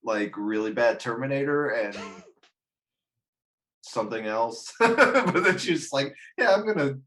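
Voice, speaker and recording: A man's voice: mid-range voice, animated, measured pace, fairly bright tone. A man hosting a casual film discussion, swearing freely, blunt and joking as he gives his opinions. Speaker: host of a film discussion show. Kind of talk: casual chat, movie commentary.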